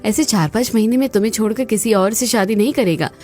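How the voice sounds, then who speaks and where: A woman's voice: fairly high pitch, fast, a little mellow, faint music behind, close to an everyday voice. A woman voicing a caring, reassuring young sister-in-law in a cartoon story.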